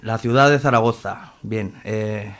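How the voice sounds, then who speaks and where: A man's voice: lowish voice, a little slow, fairly bright tone. Hesitant young man with a Madrid accent. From Madrid, Spain. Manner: hesitant, repeats words, frequent tag questions. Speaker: interview guest. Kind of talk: informal personal interview answers.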